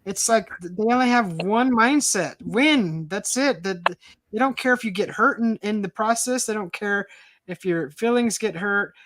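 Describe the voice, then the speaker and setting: Female stream host, mid-pitched, dry close sound, easygoing. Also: moderate pace, a bit bright, dry recording. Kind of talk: casual chat on a live stream.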